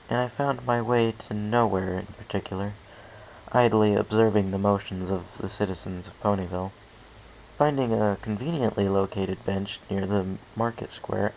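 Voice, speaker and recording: A man's voice: on the low side, creaky, a little mellow, poor audio. A man narrating a first-person story as an amateur audiobook reader, reading carefully with some stumbles. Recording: faint background hiss.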